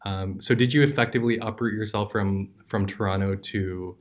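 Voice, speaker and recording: Low-voiced male interviewer, attentive. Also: steady tone, moderate pace. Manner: attentive, brief affirmations, long framed questions. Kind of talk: casual interview.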